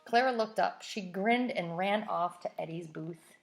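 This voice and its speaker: A woman with a slightly low, creaky voice reads a children's story aloud. She takes her time, stepping out of the tale like a teacher to explain it in simple words. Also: measured pace, faint music behind. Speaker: teacher reading a picture book aloud.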